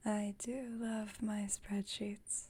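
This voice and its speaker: A woman plays a female vampire roommate in an intimate ASMR roleplay. Her voice is a soft, breathy whisper with a dark, mellow tone. She chats at a slow, unhurried pace with gentle wry exasperation. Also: medium pitch. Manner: unhurried, conversational, lightly wry. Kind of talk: ASMR roleplay, intimate one-sided chat.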